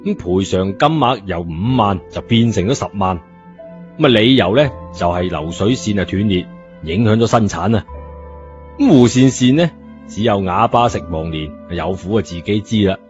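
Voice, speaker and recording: A man's voice: on the low side, animated, normal speed, a little mellow. A man with a Cantonese accent narrating a scripted story in a colloquial style, as an audiobook reader. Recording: muffled narration over music bed.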